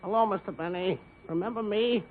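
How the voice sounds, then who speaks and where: A man's voice: high voice, brisk, close to an everyday voice. A man playing an eager, simple-minded insurance salesman in a 1950s radio comedy. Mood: eager, earnest. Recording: tinny old radio recording with hiss.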